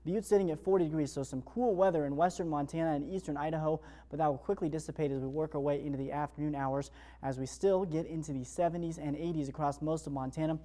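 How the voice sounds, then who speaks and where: A male television meteorologist delivers a morning forecast in upbeat, ad-libbed broadcast patter. He moves through it at a brisk clip. His tone is smooth-edged and mellow, without sharpness. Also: on the high side, steady tone. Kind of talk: live weather forecast presentation.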